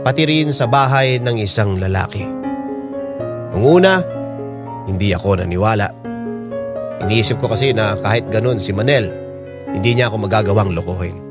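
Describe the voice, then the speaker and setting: A man narrating his story in the first person, measured and brooding. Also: fairly low pitch, over loud music. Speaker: narrator as the father.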